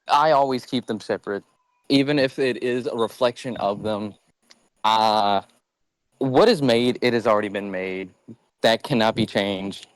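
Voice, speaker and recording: A man's voice: fairly low pitch, dry recording, poor audio. A man chatting casually on a podcast in a blunt, joking way. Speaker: podcast speaker. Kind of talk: casual chat.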